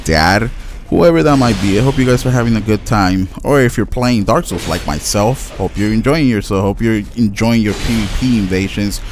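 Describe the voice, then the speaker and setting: Man, Spanish accent, native Spanish speaker, casual gaming commentary, low voice, stuttering restarts, faint background noise. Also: moderate pace. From Latin America. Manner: rambling, stutters and restarts often. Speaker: gaming YouTuber. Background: faint household noise, dog barking.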